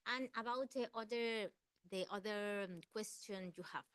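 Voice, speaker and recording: A woman's voice: mid-range voice, moderate pace, a bit bright, smooth, no echo. A woman from Ecuador speaking English with an Ecuadorian Spanish accent, giving a seminar talk and answering questions earnestly, searching for words. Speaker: guest speaker, environmental campaigner.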